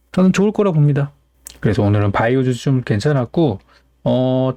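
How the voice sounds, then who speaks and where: A man's voice: mid-range voice, warm tone, no echo. A man hosting a stock market show, giving informal, opinionated commentary to viewers and often posing rhetorical questions.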